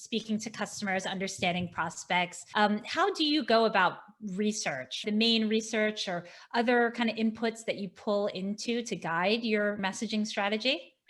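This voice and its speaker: A woman's voice: mid-range voice, moderate pace, hardly any pauses, fairly bright tone. A middle-aged woman hosting an interview show over a video call, chatting in an enthusiastic, affirming way about work. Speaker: podcast host interviewing a guest. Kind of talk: interview, casual professional chat. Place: small indoor office, video call. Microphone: desk microphone close in front.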